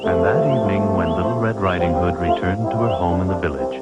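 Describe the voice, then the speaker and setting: A man reading scripted fairy-tale narration in a measured storytelling manner. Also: low voice, natural voice. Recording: dull VHS soundtrack, loud music.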